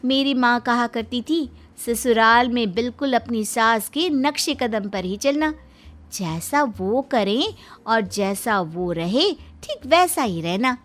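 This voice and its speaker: A woman's voice: fairly high pitch, expressive, takes it slowly, a bit bright. A woman voicing a sweet, innocent yet shrewd young daughter-in-law in a family moral tale, polite and earnest. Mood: mock innocent.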